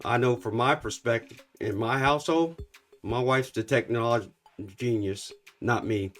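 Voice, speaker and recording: Steady, affirming man coach. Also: mid-range voice, moderate pace, faint music behind. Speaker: youth coach and co-host. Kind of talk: conversational talk.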